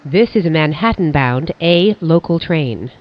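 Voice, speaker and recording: A train announcer reading scripted station announcements in a formal, measured, pieced-together way. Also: medium pitch, animated, muffled recording.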